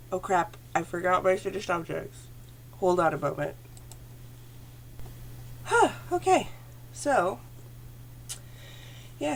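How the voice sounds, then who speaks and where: Phlegmy, gravelly-voiced woman chatting. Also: on the low side, a little hiss or hum. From Canada. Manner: chatty, rambling, self-correcting. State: phlegmy throat. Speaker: knitting podcast host. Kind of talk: casual chat to camera. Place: home room. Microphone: computer camera at desk.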